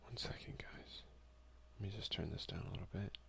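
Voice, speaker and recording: A man speaking softly, at times in a breathy half-whisper, in a casual, hesitant ASMR let's play on a close headset mic. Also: sits low, wildly swooping pitch, a little fast. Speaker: gaming ASMR video creator. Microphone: close headset boom mic.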